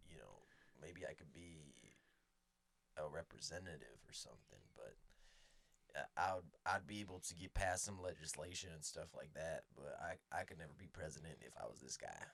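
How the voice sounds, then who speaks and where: A man co-hosting a comedy podcast, rambling through absurd improvised bits in a deadpan way. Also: a bass voice, normal speed, creaky, dry recording. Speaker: podcast co-host.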